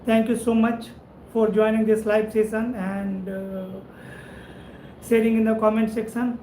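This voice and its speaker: Indian man, flat voice in an echoey room. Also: sits high, unhurried. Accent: Indian English. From India (Madhubani, Bihar). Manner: friendly, repetitive thanks, frequent "right?" tag. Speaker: networking instructor and channel host. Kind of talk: live-stream chat reading viewer comments. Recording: roomy echo, faint background noise.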